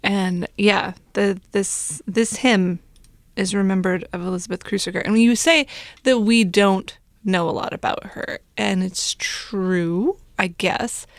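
A woman's voice: mid-range voice, steady tone, unhurried, no room sound, clean studio sound. A woman co-hosting a podcast, chatting casually with a wry manner. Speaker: podcast co-host. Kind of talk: casual chat.

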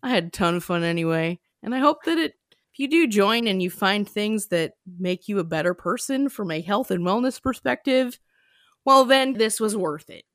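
Animated woman co-host with a lively voice. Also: mid-range voice, moderate pace, dry recording, studio quality. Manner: animated, laughing, playful. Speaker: podcast co-host. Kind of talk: casual chat.

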